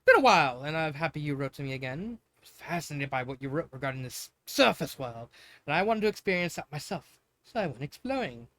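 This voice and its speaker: A man's voice: a little high, big pitch swings, brisk, a bit bright, no room sound. A man voicing a polite, curious six-year-old underworld prince in a fanfiction reading, friendly, earnest and a little tentative.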